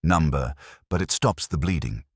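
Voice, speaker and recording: Man reading as wry, deep-voiced detective sidekick. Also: expressive, moderate pace, husky, no room sound, clean studio sound, full character voice. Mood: wry, reassuring. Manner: slangy, wry. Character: wisecracking, breezy male detective's assistant. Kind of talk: detective audiobook dialogue.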